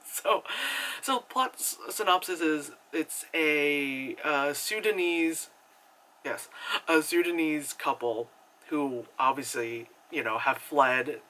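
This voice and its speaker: A young man talks casually through a movie review, rambling and filling gaps with likes and you-knows. His voice sits a little high with a bright, forward edge. He breaks into animated acted reactions. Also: slow. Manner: chatty and animated, many fillers, jumps into high acted reactions.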